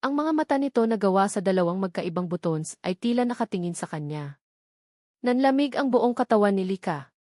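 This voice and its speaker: A woman's voice: mid-range voice, animated, a little fast, dark tone, no echo. Woman narrating drama, dry studio-like sound. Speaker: story narrator. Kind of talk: dramatic scripted story narration.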